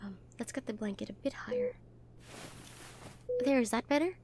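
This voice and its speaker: A woman acts out a gentle female nurse in an intimate audio roleplay. Her voice is high and soft, with a solicitous, slightly hesitant way of speaking to the patient. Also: very animated, moderate pace, breathy edge, heavily acted. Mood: gentle, caring.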